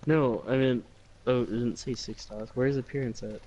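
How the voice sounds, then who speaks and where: A man's voice: mid-range voice, steady tone, moderate pace, dull audio, over a noisy crowd. A man chatting casually as a player in a tabletop role-playing game.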